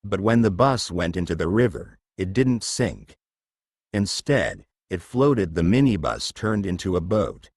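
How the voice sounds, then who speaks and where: A deep-voiced man narrates a children's story for learners. He reads in a slow, measured way, with lively swings of intonation. The sound is dry and close. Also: dry recording.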